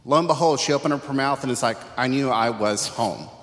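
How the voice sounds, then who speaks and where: A man's voice: mid-range voice, fairly quick, fairly bright tone. Earnest man giving church testimony. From Texas. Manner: earnest, conversational. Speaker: church panel member. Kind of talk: personal testimony. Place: church sanctuary. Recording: long hall reverb.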